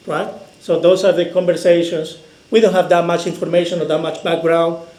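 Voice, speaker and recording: A man presenting research at an industry conference, hesitant, often pausing to ask "right?" and hedging with "probably". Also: a little high, darkish tone. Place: large echoey conference room. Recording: echoey conference hall.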